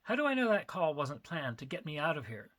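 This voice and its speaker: A man reads as a thin, menacing male drug boss in a thriller story. He is cool and dispassionate, with a flat, composed delivery in a bright, firm voice. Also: fairly high pitch, brisk. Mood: cold, insistent. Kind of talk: dramatic story reading.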